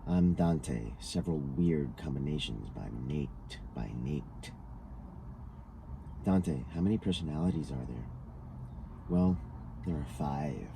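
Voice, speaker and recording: A man's voice: very deep voice, expressive, slow, creaky, pauses often. A man reading a scripted interview dialogue aloud, taking each speaker's lines in turn. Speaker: reader of a written transcript. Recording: noticeable background noise.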